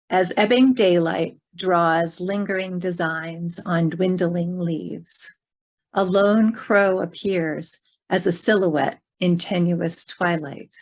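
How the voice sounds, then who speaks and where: Woman reader for poetry, somewhat low pitch, careful and unhurried recitation. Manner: careful, measured phrasing.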